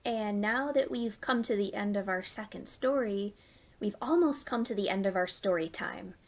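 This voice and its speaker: A woman hosting a storytime for young children, reading stories and giving simple, clearly phrased instructions. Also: mid-range voice, moderate pace. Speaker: children's library storytime host.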